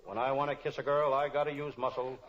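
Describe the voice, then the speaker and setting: A man's voice: medium pitch, level tone, quick pace, heavy background noise, close to an everyday voice. Man as a wisecracking old-radio private detective. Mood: deadpan, wisecracking. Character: wisecracking, kind-hearted male private detective. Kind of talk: old-time radio detective spoof, narration and dialogue. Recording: dull lo-fi old radio recording.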